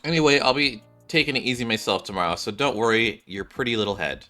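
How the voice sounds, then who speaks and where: A man's voice: medium pitch, fast, pushed and bright, close to an everyday voice. A man voicing a caring, bossy and playful woman scientist in a game, with a breezy, teasing, emphatic delivery. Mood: teasing concern. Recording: background music behind the voice.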